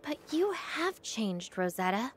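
High-voiced, earnest young princess. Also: female voice, sing-song, slow, music in the background. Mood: wistful. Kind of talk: video game cutscene acting.

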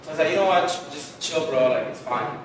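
A young man as an actor guest, chatting casually and loosely with plenty of fillers. He sounds far from the mic in a boomy studio, with a long reverb tail and noticeable background hiss. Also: quick pace. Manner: relaxed storytelling with many fillers. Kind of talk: casual interview chat. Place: podcast studio with graffiti wall. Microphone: headset mic seen, far camera. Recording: echoey room, distant, noisy.

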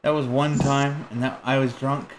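A man's voice: medium pitch, flat delivery, moderate pace, slight background noise, rough recording. A man streaming a video game, chatting casually with crude, joking banter while he plays. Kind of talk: casual gaming chat.

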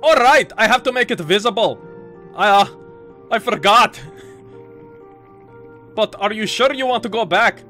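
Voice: mid-range voice, slow, long pauses, bright, forceful tone, soft background music. A let's player voicing a grumpy, absent-minded old male game wizard, pompous and blustering, lapsing into hesitant mutters. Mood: fussy.

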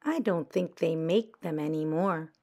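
A woman's voice: sits low, measured pace, mellow. Woman reading as sly, bossy young girl badger. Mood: discouraging. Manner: persuasive, coyly evasive. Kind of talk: children's picture book read-aloud.

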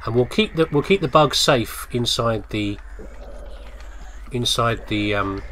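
A British man talks his way through a video game in a very lively, swooping voice. He is chatty and playful, muttering asides to himself, with light game ambience and noise behind him. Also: mid-range voice, a little slow. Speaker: gamer doing a let's play. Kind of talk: casual game commentary, thinking aloud.

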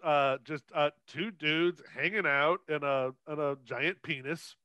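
A man's voice: a little high, unhurried, bright, forceful tone, clear, resonant tone, no room sound, muffled recording. A man co-hosting a podcast, chatting casually about films in a profane, irreverent way. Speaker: podcast co-host. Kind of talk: casual, unscripted film discussion.

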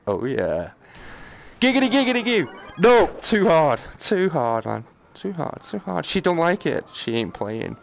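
A man's voice: on the high side, very animated, measured pace, vocal fry. A man giving casual live game commentary, muttering and exclaiming to himself in a jokey, self-deprecating way. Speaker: gaming streamer. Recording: noticeable background noise, game ambience.

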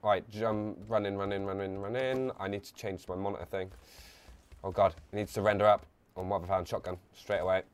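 A young man commentating a live game stream on a headset, chatting, reading viewer messages aloud and getting excited in bursts. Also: sits low, fairly quick, clear, resonant tone.

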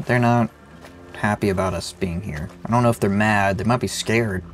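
A man's voice: fairly low pitch, moderate pace. A young man with a sick-sounding voice giving rambling, joking let's-play commentary. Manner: rambling, joking, self-deprecating. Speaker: gaming channel host.

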